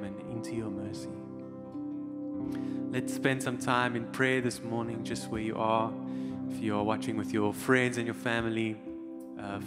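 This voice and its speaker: A man leads a spoken prayer in a low, even voice, soft and steady. A loud acoustic guitar plays behind him on a small studio stage. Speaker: worship leader. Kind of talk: spoken prayer over music. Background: acoustic guitar playing.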